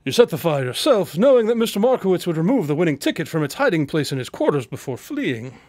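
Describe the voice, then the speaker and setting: A man's voice: a little high, big pitch swings, quick pace, darkish tone, no room sound. A man playing a confident, articulate detective in a radio drama, formal, precise and coolly superior. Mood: accusing.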